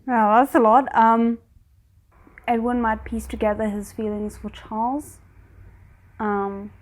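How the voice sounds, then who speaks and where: A woman chats about an episode she has just watched. She thinks out loud, tripping over words and circling back with ums and likes, in an easygoing, conversational way. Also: on the high side, flat delivery, slow pace. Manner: chatty, thinks aloud with many fillers and restarts. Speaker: reaction video host. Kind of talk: casual reaction commentary.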